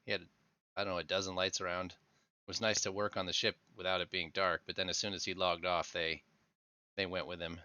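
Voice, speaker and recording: A man with a croaky voice hosting a casual live game stream, chatting and laughing easily as he builds. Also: low voice, fairly quick, no echo. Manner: relaxed, laughing easily while narrating his building. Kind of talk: casual live gameplay chat. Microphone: headset microphone. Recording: dull headset mic sound.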